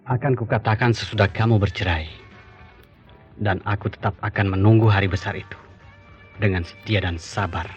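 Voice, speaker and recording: A man's voice: fairly low pitch, moderate pace, long pauses, lightly acted. An actor playing an earnest, persistent male doctor courting a colleague in an Indonesian radio drama. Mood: devoted. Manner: earnest, probing. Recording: dull lo-fi radio drama, music behind.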